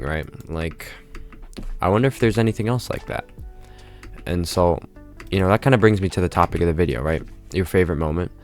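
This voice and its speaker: A man's voice: deep voice, darkish tone. A congested man speaking casually to his viewers in a rambling monologue, tagging nearly every phrase with "right?". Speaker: vlogger.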